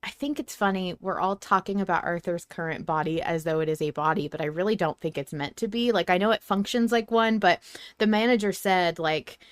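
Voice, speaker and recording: A woman co-hosting a podcast in casual fan discussion, talking in an animated, informal and teasing way. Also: on the low side, brisk, no echo. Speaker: podcast co-host.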